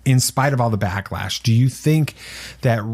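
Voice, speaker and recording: A man's voice: medium pitch, moderate pace, darkish tone. A man hosting a podcast, leading a casual panel discussion about films. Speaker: podcast host.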